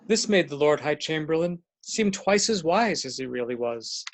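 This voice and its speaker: Higher-pitched man narrating a tale. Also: expressive, moderate pace, noisy background. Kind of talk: storybook narration.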